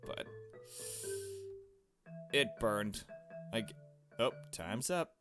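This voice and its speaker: Low-voiced man narrating a visual novel. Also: lively intonation, extremely slow, over music. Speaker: gamer reading a visual novel aloud. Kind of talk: casual let's-play narration with off-the-cuff asides.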